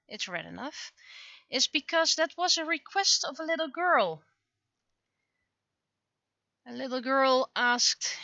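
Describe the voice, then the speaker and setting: A woman from the Netherlands, chatting casually and haltingly on a livestream as she draws, thinking aloud. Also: on the high side, gravelly, pushed and bright, dry recording, highs cut off. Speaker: livestreaming webcomic artist. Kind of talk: casual livestream chat while drawing.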